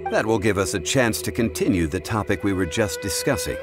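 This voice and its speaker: An actor playing a calm, erudite, courteous male consultant in a video game, speaking formally and with measured poise. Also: lowish voice, natural voice. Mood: measured, gracious. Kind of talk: scripted game dialogue. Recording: music behind the voice.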